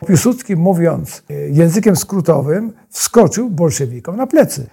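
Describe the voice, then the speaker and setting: A man tells history in a narrative, explanatory way. His voice is husky and soft-edged, set a little higher than most men's, and he repeats phrases for emphasis. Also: expressive, a little slow. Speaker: historian guest.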